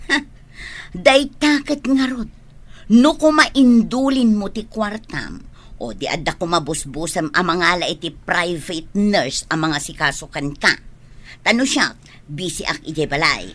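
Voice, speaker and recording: Actress as a sharp, nagging younger sister. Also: female voice, fairly low pitch, a little slow. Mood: nagging, insistent. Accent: Ilocano. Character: blunt, practical, busy sister nagging an ailing older brother. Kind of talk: Ilocano radio comedy-drama acting.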